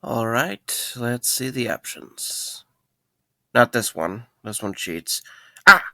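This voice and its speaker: Casting: young male night guard played by a man, creaky voice, sarcastic, caring, very dry recording. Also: medium pitch, sing-song, slow pace, sharp tone, dry recording, exaggerated voice. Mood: easygoing. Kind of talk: audio drama acting.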